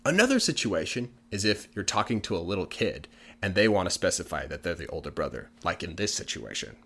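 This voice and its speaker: A man's voice: low-pitched, sing-song, brisk, a bit bright, slightly rough. A man who is a native English speaker, patiently explaining language points in a casual lesson with lots of examples. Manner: patient, plain-spoken explaining with many examples. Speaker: English teacher.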